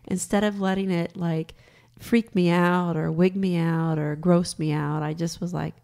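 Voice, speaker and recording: A raspy middle-aged woman with a low, gravelly voice, co-hosting a podcast. She chats frankly and laughs easily, and her voice sounds slightly hoarse. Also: steady tone, a little slow, mellow. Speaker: podcast co-host. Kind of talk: casual chat.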